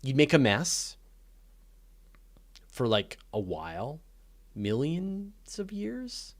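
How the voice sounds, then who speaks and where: A man's voice: mid-range voice, wildly swooping pitch, very slow. A man hosting a live question and answer session, talking off the cuff in an informal, opinionated, emphatic way and thinking aloud. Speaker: host of a live space and astronomy Q&A. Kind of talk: casual off-the-cuff Q&A commentary.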